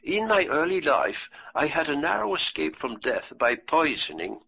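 A man's voice: a little high, big pitch swings, moderate pace, creaky, husky, highs cut off, pitched above the performer's own voice, full character voice. A man reading an audiobook, voicing a pale, mysterious widow who speaks in an earnest, formal, old-fashioned way. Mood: impatient. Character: pale, intense mysterious widow, impatient and proud.

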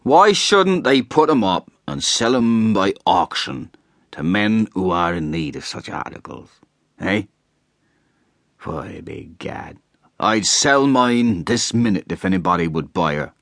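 A man's voice: deep voice, animated, takes it slowly, no echo, clean studio sound, full character voice. A man voicing a drunken, brash rustic hay trusser who blusters and boasts. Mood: blustering. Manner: blustering and boastful, shouting at times.

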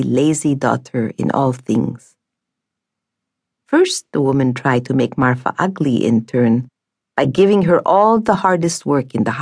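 Woman narrator, low and measured, dry studio read. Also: darkish tone, husky, dry recording. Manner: measured, storytelling. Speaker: audiobook narrator. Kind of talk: scripted audiobook narration. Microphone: studio mic.

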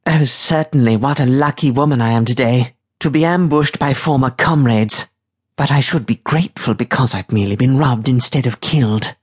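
A man's voice: medium pitch, normal speed, husky, voice pushed up, close to an everyday voice. A man voicing a proud, sardonic woman freebooter captain in an audiobook, with a lofty, clipped delivery. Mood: sarcastic. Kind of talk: audiobook character voice.

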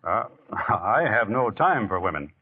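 An actor playing a laconic, steady American volunteer with an understated, hesitant delivery in old radio drama style. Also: male voice, fairly low pitch, measured pace, husky, full character voice. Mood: casual, reticent. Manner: understated, hesitant, plain-spoken. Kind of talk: 1940s radio acting. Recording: muffled, narrowband vintage radio recording.

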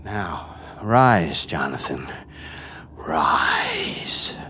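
Intense grieving father, swinging wildly in pitch. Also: very animated, sharp tone, a little hiss or hum, full character voice. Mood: feverish resolve. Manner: intense, theatrical, halting repetitions. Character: grief-maddened father and obsessive scientist, desperate, brooding. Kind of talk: live audio drama acting with monologue narration. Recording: live stage recording with music underneath.

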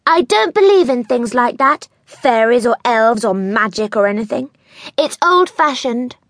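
A woman's voice: on the high side, animated, a little slow, fairly bright tone, no room sound, clean studio sound, pitched above the performer's own voice. A woman voicing a dainty, snooty young girl in a children's audiobook, haughty, emphatic and dismissive. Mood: scornful.

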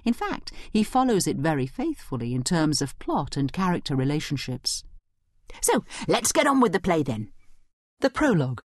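A woman giving scripted educational narration as a study guide, instructive and expressive, speaking directly to the listener. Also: sits low, moderate pace, no room sound, clean studio sound.

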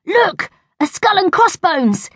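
A bossy schoolboy who fancies himself a pirate captain, acted out by a woman storyteller. The voice is pitched high, loud and emphatic, with big swings, as in a lively storybook. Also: slow, no echo, studio quality, exaggerated voice. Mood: excited.

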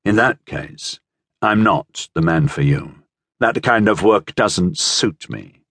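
A man's voice: low voice, lively intonation, takes it slowly, vocal fry, a bit bright, dry recording, full character voice. A man voicing a proud, blunt sellsword of minor nobility in an audiobook adventure. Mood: firm refusal. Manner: blunt, scornful, firm.

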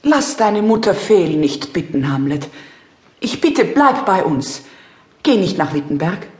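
Casting: a regal, pleading middle-aged mother-queen, lowish voice with very melodic phrasing, stage classic. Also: sing-song, unhurried, echoey room. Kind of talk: classical audio drama acting.

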